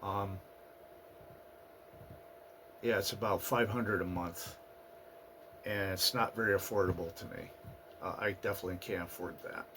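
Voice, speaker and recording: A man hosting his own channel, talking through his past year in a halting, personal monologue, his voice raspy and hoarse from a recent flu. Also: sits low, fairly even intonation, background noise. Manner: halting, with fillers and restarts. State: getting over a flu, hoarse. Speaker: channel host. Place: at home in the US Northeast.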